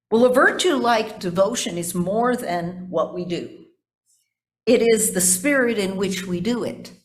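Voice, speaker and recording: Older woman preaching a reflective sermon. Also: mid-range voice. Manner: deliberate, emphatic, with folksy rhetorical questions to listeners. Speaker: pastor. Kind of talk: sermon, reflective with conversational asides.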